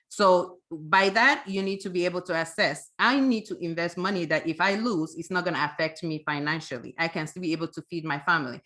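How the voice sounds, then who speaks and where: Bright-voiced woman coaching on a webinar. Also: lowish voice. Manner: emphatic, checks with rhetorical "right?". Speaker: financial coach, webinar guest. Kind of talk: conversational explaining with examples.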